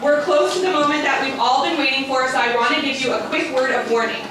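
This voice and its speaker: An upbeat woman with a high voice emcees a live event, calling for applause and walking the crowd through what comes next. She is heard from a distance in a big echoey hall, with steady crowd noise behind her. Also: fast talker. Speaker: event host. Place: large echoey museum hall.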